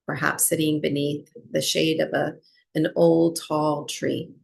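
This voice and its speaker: Slow, low-voiced woman guiding meditation. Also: little pitch movement, gravelly, slightly rough. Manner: unhurried, with long pauses between short phrases. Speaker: breathwork and yoga nidra teacher. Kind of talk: guided meditation, slow soothing instruction.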